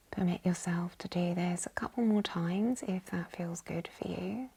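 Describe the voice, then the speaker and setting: A woman leading a guided yoga nidra meditation in a soft, whispery, breathy voice, calm and gentle. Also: fairly low pitch. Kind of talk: guided meditation, slow hushed instruction.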